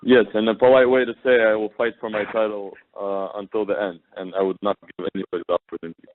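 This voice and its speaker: A man interpreting on a press conference call, pausing hesitantly as he translates. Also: lowish voice, flat delivery. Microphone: telephone conference call. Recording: tinny phone line, faint noise, clicks.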